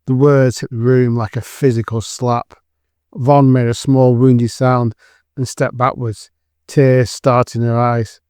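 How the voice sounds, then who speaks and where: A man's voice: mid-range voice, flat delivery, unhurried, a little mellow, clean tone, no echo, studio quality. A man reading scripted dramatic narration as the narrator of an audio drama.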